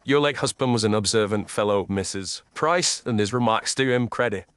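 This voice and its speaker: A shrewd gentleman detective played by a male reader speaks in a lowish, dry-recorded voice. He is self-assured and precise, setting out his deductions formally, as in an audiobook mystery. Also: normal speed, clean tone, dry recording, lightly acted. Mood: composed, analytical. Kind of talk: audiobook character dialogue.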